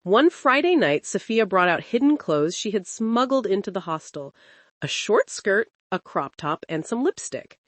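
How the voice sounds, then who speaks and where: A woman storyteller giving an expressive, suspenseful narration of a scripted dramatic folktale. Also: mid-range voice, moderate pace, dry recording.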